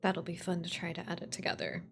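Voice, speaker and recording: Woman streamer mumbling thru her writing, lowish gravelly voice, keyboard clatter behind her. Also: little pitch movement, quick pace, slight background noise. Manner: mutters to herself, trails off and repeats words while drafting. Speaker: streamer, writer and voice actor. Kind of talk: casual work-along stream, thinking aloud while writing. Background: computer keyboard typing and clicks.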